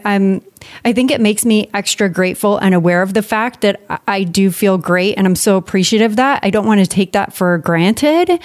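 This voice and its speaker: A woman's voice: mid-range voice, darkish tone. Upbeat woman in her thirties. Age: 30s. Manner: upbeat and enthusiastic. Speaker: podcast co-host. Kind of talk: casual chat.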